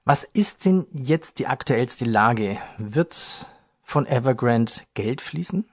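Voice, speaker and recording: A man hosting a finance podcast interview, with smooth, scripted moderation and light jokes. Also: mid-range voice, animated, slow pace, no room sound. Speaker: radio host.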